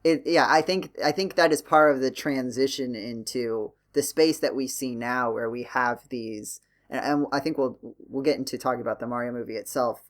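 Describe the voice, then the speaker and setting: A man's voice: mid-range voice, fairly even intonation, moderate pace, hardly any pauses. A man hosting a podcast, talking casually and working through his points with hedges and self-corrections. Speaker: podcast host.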